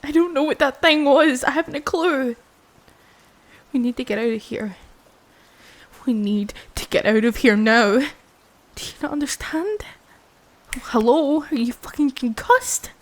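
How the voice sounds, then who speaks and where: A woman acting out a frightened young woman in a horror audio roleplay. Her voice is high and she takes her words slowly. She sighs, swears and can slip into breathy whispers as she talks to her partner. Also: animated. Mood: urgent, frantic. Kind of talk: improvised ASMR audio roleplay.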